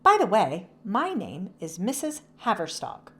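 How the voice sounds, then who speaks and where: A gracious, well-mannered storybook lady, acted by a woman narrator. She is effusively polite and welcoming. Her pitch lilts widely around a middle register. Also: wildly swooping pitch, measured pace.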